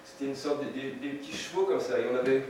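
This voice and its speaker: A man's voice: mid-range voice, steady tone, quick pace. Hesitant man in his fifties, echoey. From France. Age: 50s. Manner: hesitant, many fillers and restarts, light chuckles. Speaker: photographer presenting his work. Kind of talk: seminar talk with slides. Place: seminar room with audience. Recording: echoey room, distant mic, noisy.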